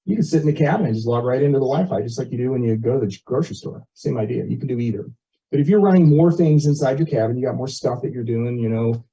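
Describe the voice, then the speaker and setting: A man's voice: mid-range voice, warm tone. A man giving an informal explanatory presentation at a community meeting, talking conversationally and using everyday examples. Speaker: resident presenting an internet proposal. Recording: muffled, dull meeting audio.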